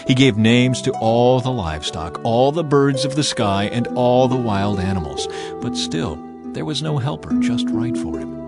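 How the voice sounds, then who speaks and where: A man narrating scripture as an audiobook in an expressive, deliberate, dramatic storytelling style. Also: mid-range voice, loud music behind the voice.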